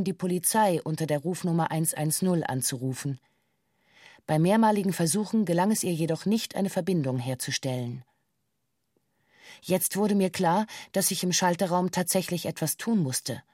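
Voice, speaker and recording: A female bank employee delivers a formal witness statement in a radio play. Her voice sits fairly low and she stays precise and matter-of-fact. The recording is close and dry, with no room around it. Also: darkish tone, no echo. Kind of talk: scripted radio play monologue in stiff police-statement language.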